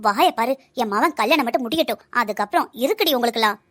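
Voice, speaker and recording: A very high, bright voice of a woman playing a bitter cartoon mother-in-law with a southern Tamil Nadu accent. She nags and scolds sarcastically at a fast clip, bossing everyone around. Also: expressive, dry recording, clean studio sound. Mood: angry, scolding. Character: bossy, bitter village mother-in-law, middle-aged. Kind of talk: Tamil comedy cartoon dialogue.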